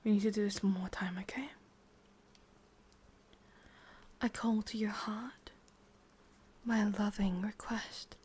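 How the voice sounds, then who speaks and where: A woman with an English accent playing a sadistic, possessive witch in a hushed, breathy ASMR roleplay, slow and coaxing. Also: mid-range voice, steady tone. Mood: tender, incantatory.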